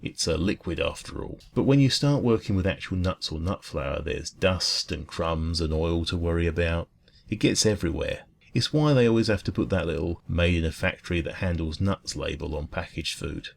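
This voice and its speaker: A man voicing a friendly, food-loving dragon who bakes at a café, chatting gently and earnestly in a rambling ASMR roleplay. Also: low voice, moderate pace, vocal fry, a little hiss or hum. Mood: chatty, easygoing. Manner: gentle, rambling, hesitant, earnest enthusiasm about baking. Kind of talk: ASMR roleplay, soft casual chat.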